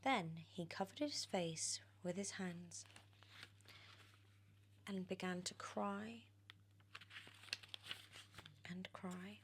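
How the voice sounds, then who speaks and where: A British woman reading a storybook in ASMR style, drifting between soft whispers and expressive, animated speech. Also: lowish voice, drawn-out words, pauses often, slight background noise. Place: on a sofa indoors.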